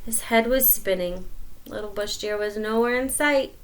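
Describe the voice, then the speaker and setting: A woman reading a children's picture book aloud as a storyteller, expressive, with dramatic pauses and emphasis. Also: medium pitch, a loud crowd.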